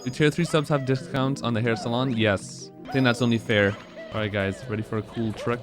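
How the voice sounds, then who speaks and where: A man's voice: medium pitch, steady tone, brisk, music in the background. A man in his twenties speedrunning on a live stream, rambling casually and answering chat between moves.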